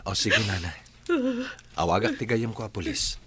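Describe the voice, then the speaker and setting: A calm, supportive husband in a radio drama, played by a man. His voice is deep, and he speaks in a measured, reassuring way. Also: breathy edge. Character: calm, supportive husband and employer, Sir Edward. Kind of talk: radio drama dialogue in Ilocano.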